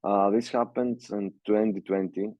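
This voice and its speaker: A young man from Greece with a Greek accent, speaking English as a second language in a casual interview and talking haltingly with many fillers and restarts. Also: lowish voice, steady tone, no room sound, muffled recording. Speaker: music producer, guest.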